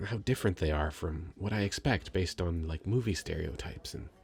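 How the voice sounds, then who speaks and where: Man acting shy stammering nerd, low gravelly voice. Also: moderate pace. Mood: shy, earnest. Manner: stammering, hesitant, rambling, self-conscious. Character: shy, nervous, sweet nerdy young male stranger. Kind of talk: scripted ASMR roleplay, intimate conversational acting.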